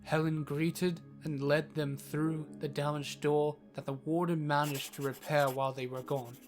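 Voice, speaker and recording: A man's voice: a little high, level tone, a little slow, faint music behind. A man reading scripted narration for a fantasy audio drama, recounting the tale in the third person.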